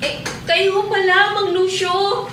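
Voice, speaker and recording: A woman's voice: extremely high pitch, flat delivery, slow pace, sharp tone, reverberant, a little hiss or hum, heavily acted. An actress in a Filipino radio drama playing a distressed mother searching for her lost child, pleading and emotional. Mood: polite, hopeful.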